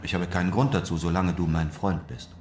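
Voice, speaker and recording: An actor playing a shrewd, composed European traveller in a radio drama, speaking in a measured, assured way. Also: male voice, low voice, fairly even intonation, darkish tone, reverberant, slight background noise, natural voice. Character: confident, shrewd European traveller, narrating his adventures. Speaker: narrator and lead actor. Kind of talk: radio drama acting and narration.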